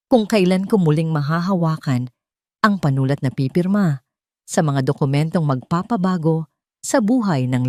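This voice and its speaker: Low-voiced woman narrating a drama, dry recording. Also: dark tone, no room sound. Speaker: audio story narrator. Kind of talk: dramatic first-person scripted narration.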